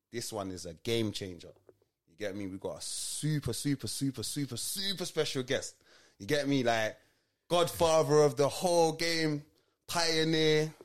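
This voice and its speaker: A young man hosting a casual podcast, full of slang like "you get me". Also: fairly high pitch. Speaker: podcast host. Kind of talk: casual chat.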